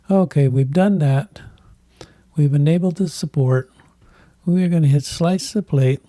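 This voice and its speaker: A man hosting a tech channel, thinking aloud in halting bits while he clicks through software, with a voice that is giving out. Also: a little high, no room sound. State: losing his voice. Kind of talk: casual screen walkthrough.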